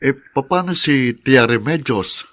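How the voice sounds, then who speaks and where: A Filipino older brother in a radio drama. His mid-pitched voice is teasing and fatherly, nagging yet fond. The sound is dry and close. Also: expressive, slow, fairly bright tone, no room sound. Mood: curious.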